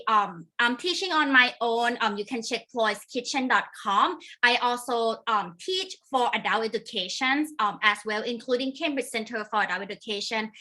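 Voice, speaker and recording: A woman's voice: a little high, sharp tone. A woman from Thailand with a Thai accent, teaching an online cooking class over a video call, talking in a friendly way with frequent ums.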